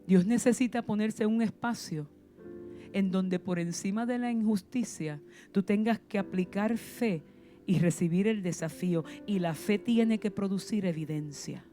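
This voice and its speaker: A woman with a Puerto Rican accent preaches with fervor, firing rhetorical questions and punching key words. Her voice sits in a middle pitch with a dark, mellow tone. Faint instrumental music plays beneath her. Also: normal speed. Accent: Puerto Rican Spanish. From Puerto Rico. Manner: passionate preaching with rhetorical questions and sudden emphasis. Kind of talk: sermon.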